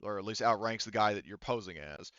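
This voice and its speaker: A man with a low, clean voice narrates a tabletop roleplaying session. His delivery is casual and halting, with pauses as he works things out. The sound is close and dry but slightly dull, like online voice chat. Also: fairly bright tone, no echo. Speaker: game master. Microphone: online voice chat microphone.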